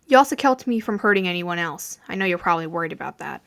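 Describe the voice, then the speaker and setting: A woman voicing a young male mafioso, stern but gently reassuring, in a fan fiction reading. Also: fairly low pitch, lively intonation, fast, vocal fry. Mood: calm, explaining.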